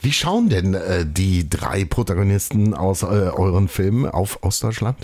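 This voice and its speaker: A man anchoring a regional TV news show, moderating and interviewing a guest in the studio with a lively, emphatic delivery. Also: sits low, measured pace.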